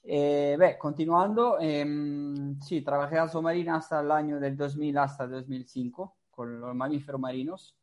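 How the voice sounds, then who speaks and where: A middle-aged native Portuguese speaker with a Portuguese accent, chatting casually in non-native phrasing and often adding tag questions. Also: male voice, fairly high pitch, fairly even intonation, normal speed, hardly any pauses, a little mellow. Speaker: host, marine mammal trainer. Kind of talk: casual introductory chat.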